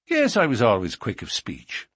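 A bold young-blooded outlaw from an audiobook, played by a man. He is self-assured and matter-of-fact in his defiance, with a slightly husky voice, lively intonation and a close, dry sound. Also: medium pitch, normal speed, dry recording, exaggerated voice. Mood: cool, assured. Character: cool, bold male outlaw newcomer, quick-tongued. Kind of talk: audiobook character dialogue.

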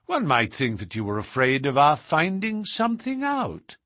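A man reading aloud, voicing a cool, measured, sharp-witted gentleman detective. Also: fairly high pitch, huge pitch swings, dry recording, studio quality, exaggerated voice. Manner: cool and measured, dropping to a hushed whisper.

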